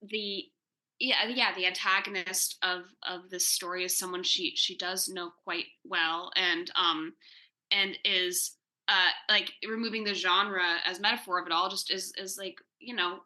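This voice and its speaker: A woman speaking conversationally as a writer on a panel, thinking aloud haltingly and often repeating words. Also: on the low side, fairly even intonation, sharp tone, low-quality recording. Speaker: comic book writer answering questions in a panel. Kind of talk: casual, conversational panel discussion.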